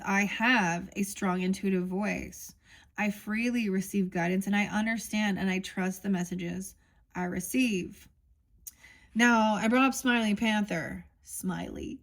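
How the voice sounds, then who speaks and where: A woman giving a casual oracle card reading, musing aloud and reading the card texts. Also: mid-range voice. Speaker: tarot reader.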